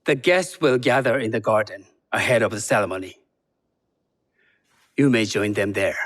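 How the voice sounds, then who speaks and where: An actor playing a composed, shrewd Japanese tea master in a video game, speaking in a measured, formal, guarded way. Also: male voice, fairly low pitch, a bit bright. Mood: stern, guarded. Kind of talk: video game cutscene acting.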